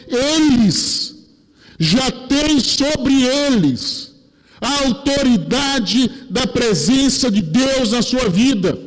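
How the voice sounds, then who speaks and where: A middle-aged man with a Brazilian accent preaching a sermon as a pastor, emphatic and explanatory, on a headset mic in a church. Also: extremely high pitch. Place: church pulpit, indoors. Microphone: headset microphone. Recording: long hall reverb.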